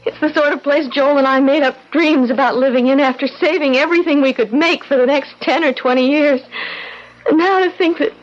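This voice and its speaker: An actress playing an eager young wife in a 1970s radio drama, sweet, polite and gushingly enthusiastic. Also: female voice, sits high, fairly even intonation, a little fast, close to an everyday voice. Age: young adult. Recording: muffled, noisy 1970s radio recording.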